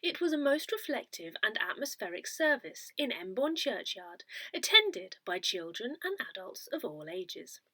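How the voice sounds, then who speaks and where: Woman reading aloud, lively, varied intonation. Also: on the high side, normal speed, few pauses, no room sound. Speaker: volunteer reader of an audio parish newsletter. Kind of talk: read-aloud newsletter narration.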